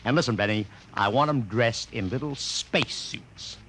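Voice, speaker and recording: A man's voice: medium pitch, moderate pace, a bit bright, slight background noise, close to an everyday voice. An actor in a 1950s radio drama playing a cocky, scheming publicity agent with slangy salesman's patter, on a vintage recording. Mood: brisk, bossy. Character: brash, fast-talking male publicity agent, cocky and scheming.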